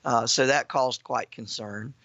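A hoarse man speaks as a guest on a talk radio show, casual and full of fillers. His voice is gravelly and bright. The audio sounds dry and dull, like a broadcast. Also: mid-range voice, measured pace, no room sound. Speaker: guest, ministry founder. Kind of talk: radio interview.

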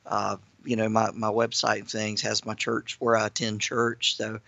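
Hoarse, gravelly-voiced radio guest. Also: male voice, lowish voice, completely flat delivery, normal speed, pushed and bright, dry recording, highs cut off. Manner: casual, many fillers. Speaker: guest, ministry founder. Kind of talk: radio interview.

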